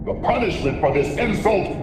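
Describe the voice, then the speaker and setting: Scary court judge, cold commanding, super echoey hall. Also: male voice, high-pitched, expressive, normal speed. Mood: menacing. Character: menacing male voice of a secret court. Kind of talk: game cutscene acting. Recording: echoey hall with background noise.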